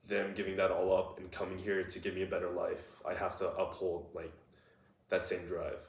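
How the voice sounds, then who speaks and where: A man's voice: low voice, normal speed, echoey room, distant mic. A young man in his twenties answering interview questions casually, with plenty of "like" and "uh" fillers. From United States. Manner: relaxed, lots of "like" and "uh" fillers. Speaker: interviewee, nutrition student.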